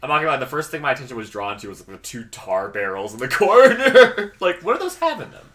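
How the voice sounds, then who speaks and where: A man's voice: mid-range voice, very animated, fast talker, fairly bright tone. A young man making casual, joking game commentary, teasing and mock-arguing with his co-host. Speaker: Let's Play co-host.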